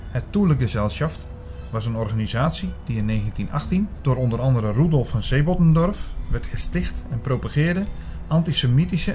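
A man's voice: mid-range voice, darkish tone, lightly acted. A man playing a learned, sceptical narrator in a Dutch audio drama. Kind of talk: first-person audio drama narration and dialogue.

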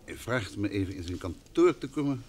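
An actor in an old Dutch radio play, playing an easygoing, gently teasing husband who writes novels, in a relaxed, hesitant manner. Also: male voice, fairly low pitch, a little fast, noisy background, lightly acted.

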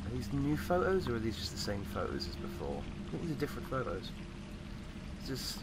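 A man with a slightly croaky voice giving casual, rambling commentary as he plays a game. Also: medium pitch, wildly swooping pitch, fairly quick, heavy background noise. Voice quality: slightly croaky, recovering from voice loss. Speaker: gamer, host of a let's play.

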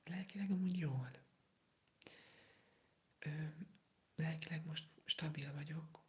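Whispering sick eighteen-year-old. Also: medium pitch. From Hungary. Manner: soft unvoiced whisper, rambling, hesitant with fillers. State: sick, with a sore throat. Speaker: ASMR streamer answering viewer comments. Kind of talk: whispered ASMR livestream chat.

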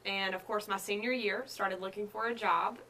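A young woman from Georgia tells her story as a guest on a studio interview set. Her voice is bright and lively, and she chats along with frequent ums while quoting others. A faint hiss sits under the lapel mic. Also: mid-range voice, moderate pace, poor audio. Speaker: guest, schoolteacher. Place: living-room style studio set.

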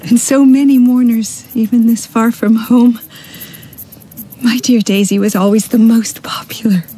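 A woman's voice: a little high, fairly even intonation, a little slow, mellow, slight background noise, close to an everyday voice. Actress as fretful, rambling mother. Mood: grieving, faltering. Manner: flustered, hesitant, rambling. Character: fretful, rambling American mother, middle-aged, genteel. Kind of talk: radio drama acting.

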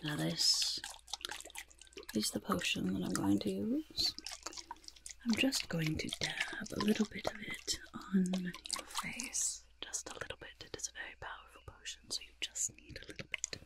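Goblin disguise expert, played by a woman, ASMR roleplay. Breathy half-whisper with lively swoops, fussy and reassuring, close-miked. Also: mid-range voice, normal speed. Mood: soothing, careful. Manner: speaks of self in third person, repetitive soothing patter. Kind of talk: soft-spoken ASMR roleplay. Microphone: close-up ASMR microphone.

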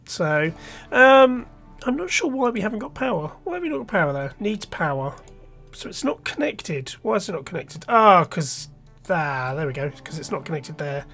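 A man's voice: high-pitched, big pitch swings, moderate pace, few pauses, sharp tone, soft background music. A man with a cold and a slightly nasal voice, casually thinking aloud with restarts as he talks through his game. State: stuffy nose from a cold. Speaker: let's play host. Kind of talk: casual gameplay commentary.

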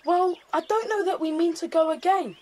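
A woman's voice: very high voice, moderate pace, darkish tone. A woman with a British accent playing a polite, sensible, self-assured boy who leads his friends in a children's audio drama. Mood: doubtful.

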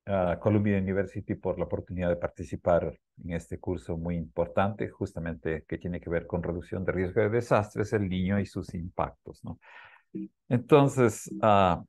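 Man, low voice, guest scientist, friendly, dry sound. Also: fairly even intonation, moderate pace, a little mellow, dry recording. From Peru. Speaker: guest speaker, climate scientist. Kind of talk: presentation opening on a video call.

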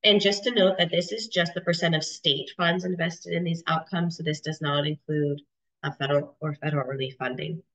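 A woman in her thirties presenting charts on a video call, stuffy from a cold, with halting speech full of ums and restarts. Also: low voice, steady tone, fairly bright tone. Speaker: webinar presenter. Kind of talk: explaining data charts in a webinar. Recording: dull lo-fi video call audio.

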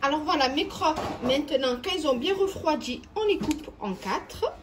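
A woman's voice: high-pitched, lively intonation, normal speed, a little hiss or hum. A woman hosting a home cooking video, chatting step by step and talking straight to her viewers. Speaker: cooking channel host. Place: home kitchen.

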